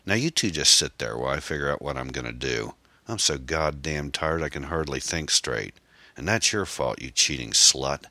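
Man playing a menacing, sneering gunman, very deep. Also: animated, moderate pace, pushed and bright, no room sound, heavily acted. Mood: angry, menacing. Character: menacing, jealous, sneering gunman ex-boyfriend with foul mouth.

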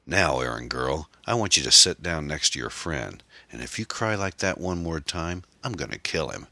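Man acting out jealous gunman villain, bass voice, taunting, menacing, folksy, hard-edged, audiobook drama. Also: big pitch swings, normal speed, bright, forceful tone, no echo, heavily acted. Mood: angry, menacing. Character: menacing, jealous, sneering gunman ex-boyfriend with foul mouth.

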